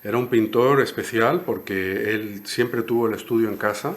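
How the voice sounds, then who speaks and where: A man's voice: fairly low pitch, moderate pace. A man in his sixties from Cuba, answering interview questions thoughtfully and often ending phrases with a questioning tag. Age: 60s. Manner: thoughtful, frequent "¿no?" tags. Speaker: interview guest, poet.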